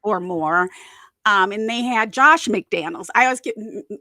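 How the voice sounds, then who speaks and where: Woman, Wisconsin accent, casual football chat, bright tone, dry close sound. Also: mid-range voice, normal speed, dry recording. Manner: chatty, laughs often, many fillers. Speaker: podcast co-host.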